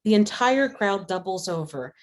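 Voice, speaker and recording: A woman's voice: medium pitch, expressive, normal speed. A woman reading scripted stage directions aloud at a script table read. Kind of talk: scripted narration of screenplay action.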